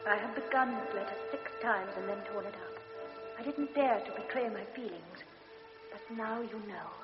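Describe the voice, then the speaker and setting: A woman's voice: medium pitch, moderate pace, lightly acted. A woman playing a pleading young invalid. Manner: pleading, intimate letter-reading. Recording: echoey, dull recording with music behind. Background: music.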